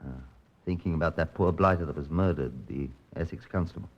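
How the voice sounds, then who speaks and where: A low-voiced chief inspector, from an old radio police drama. He speaks in a clipped, matter-of-fact way, sober and curt. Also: fairly quick, dull audio. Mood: dry, impatient. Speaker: actor and narrator in a radio crime drama. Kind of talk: old-time radio police procedural acting and narration.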